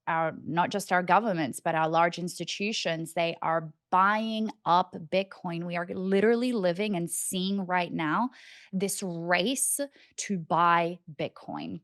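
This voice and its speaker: A woman's voice: fairly low pitch, a bit bright, smooth, dry recording, studio quality. A young Australian woman, in her twenties or thirties, explaining things in a casual, conversational way as she thinks aloud. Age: 20s to 30s. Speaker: financial educator on cryptocurrency.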